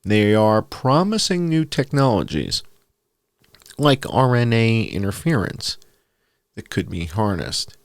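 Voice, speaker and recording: A man with a low voice narrates a written piece as bedtime reading. His pitch swings widely as he goes, and the sound is close and dry, with very little room. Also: big pitch swings, slow pace, no echo. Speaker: host reading an essay aloud. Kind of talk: scripted narration, read aloud as bedtime story.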